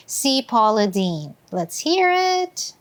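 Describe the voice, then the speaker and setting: A woman with a slightly high voice speaks slowly as co-host. She sounds relaxed and laughs easily. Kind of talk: casual chat.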